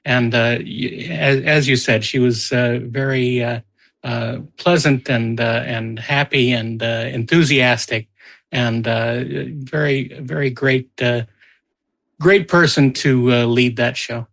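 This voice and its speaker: A middle-aged man co-hosting a podcast, chatting casually with hesitant pauses. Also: medium pitch, steady tone, a bit bright. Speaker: podcast co-host. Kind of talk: casual reminiscing chat.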